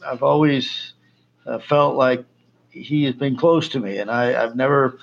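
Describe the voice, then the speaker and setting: A man from Colombia, a missionary guest, answering interview questions in a halting way with many fillers. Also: mid-range voice, vocal fry, highs cut off.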